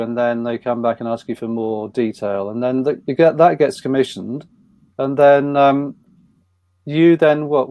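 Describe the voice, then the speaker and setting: Male interviewer, soft mellow tone, halting conversational questions for a live panel event. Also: mid-range voice.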